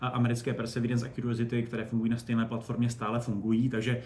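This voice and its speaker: A man giving animated, colloquial, digressive explanations as an expert guest in a casual interview. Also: mid-range voice. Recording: roomy echo, faint background noise.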